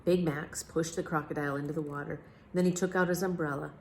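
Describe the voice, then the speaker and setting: A woman reading a children's story aloud as a storyteller, with expressive, measured phrasing. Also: deep voice, a little hiss or hum.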